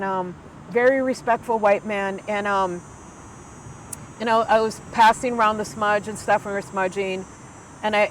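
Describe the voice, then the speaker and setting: A woman's voice: mid-range voice, a little hiss or hum, rough recording. Earnest woman in her sixties. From United States. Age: 60s. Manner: earnest, heartfelt, with frequent fillers and restarts. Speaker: Ojibwe elder and guest. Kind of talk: conversational storytelling.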